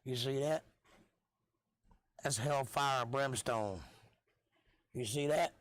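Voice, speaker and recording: A middle-aged man talks in a relaxed, folksy way to the viewers of his live stream. His voice is hoarse and worn because he is losing it. He sits at a mid pitch, and the sound is dry, with no room around it. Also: slow, no echo. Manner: laid-back, chatty, folksy. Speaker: live stream host and musician.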